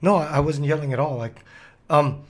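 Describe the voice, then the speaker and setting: Hoarse male co-host, easygoing chat, quick to laugh. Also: a little high. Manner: laughs easily, joking. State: hoarse, losing his voice. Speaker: podcast co-host. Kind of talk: casual chat.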